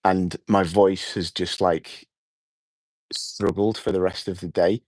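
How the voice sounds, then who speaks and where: A middle-aged man with a hoarse voice, hosting a relaxed, rambling evening livestream outdoors and chatting with his viewers. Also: sits low, slow, no echo. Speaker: livestream host. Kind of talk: casual evening livestream chat. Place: outdoors at night.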